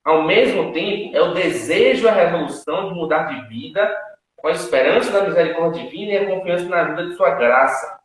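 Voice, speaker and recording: A fairly high-voiced man hosts a home live stream. He talks in a casual, emphatic teaching way, often checking in with his listeners. His voice rings through a very echoey room. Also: lively intonation, brisk. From Salvador, Bahia, Brazil. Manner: chatty, repeats tag questions, emphatic. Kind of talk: casual teaching chat with viewers.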